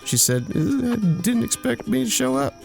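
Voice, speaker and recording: A man's voice: extremely high pitch, big pitch swings, moderate pace, creaky, music in the background, exaggerated voice. An actor playing a bashful, bragging womanizer in an audio drama, telling racy tales in a folksy, stumbling way full of innuendo. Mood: sly, boastful. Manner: folksy, innuendo-laden, stumbling into self-corrections. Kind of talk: audio drama acting, anecdotal storytelling.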